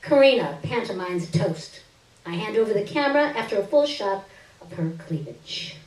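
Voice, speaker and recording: A woman giving an expressive, theatrical reading of a first-person short story on a stage. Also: medium pitch, normal speed. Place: live stage reading indoors. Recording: roomy stage, distant microphone.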